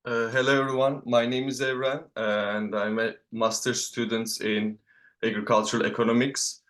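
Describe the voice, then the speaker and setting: A man's voice: mid-range voice, little pitch movement, normal speed, a bit bright. A man introducing himself briefly as a master's student on a video call.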